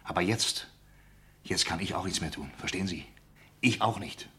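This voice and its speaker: An actor playing a resigned, sceptical citizen in a German radio play, speaking in a dry, weary, needling way. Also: male voice, sits low, normal speed, bright, forceful tone. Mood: resigned, reproachful. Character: resigned, sceptical male citizen reporting to police.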